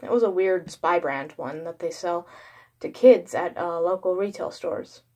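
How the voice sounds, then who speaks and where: A woman with a somewhat low voice reads a horror story. She narrates in the first person as a creepypasta storyteller. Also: a little slow, a little mellow.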